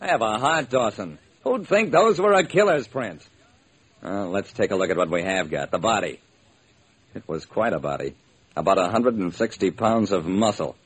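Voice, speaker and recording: Wry private detective, swooping delivery. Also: male voice, lowish voice, animated, slightly rough. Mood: matter-of-fact. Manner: dramatic, deliberate, wry. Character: hard-boiled, wry male private detective telling his case. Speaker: narrator and lead detective. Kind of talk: old-time radio detective narration. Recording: noisy old radio recording.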